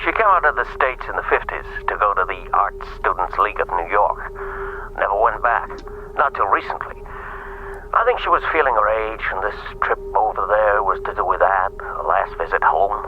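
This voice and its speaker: An actor playing a reserved, faintly wistful older retired agent, speaking in measured phrases over a phone in a radio drama. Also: male voice, fairly low pitch, expressive. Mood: matter-of-fact, wistful. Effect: telephone filter. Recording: tinny, noisy phone line.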